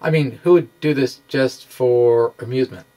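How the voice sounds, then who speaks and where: A man giving an informal explanatory physics monologue in a halting, self-deprecating, conversational way. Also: medium pitch, warm tone, far from the mic. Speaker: amateur physics video blogger.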